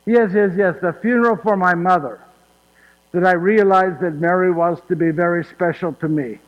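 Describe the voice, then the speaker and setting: Guy playing joseph telling stories, lilt, laughs a lot, keeps asking yes?, highish voice, echoey hall. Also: moderate pace, muffled recording, natural voice. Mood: fond. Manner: folksy, laughing, asks "yes?" often. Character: warm old Joseph of Nazareth, chatty storyteller. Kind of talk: one-man stage monologue. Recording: hall reverb.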